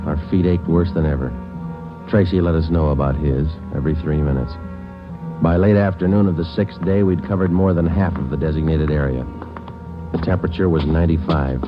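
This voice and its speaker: An actor playing a dogged, stoic police detective in a 1940s radio drama, speaking in clipped, matter-of-fact, deadpan sentences. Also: male voice, very deep voice, a little fast. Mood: dry, weary. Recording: muffled old radio recording with music.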